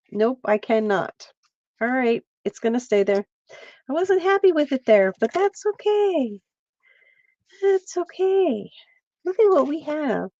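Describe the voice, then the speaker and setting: A woman's voice: high-pitched, darkish tone, dry recording, dull audio. A middle-aged woman from Pennsylvania, chatting casually with viewers while she crafts on a livestream. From central Pennsylvania.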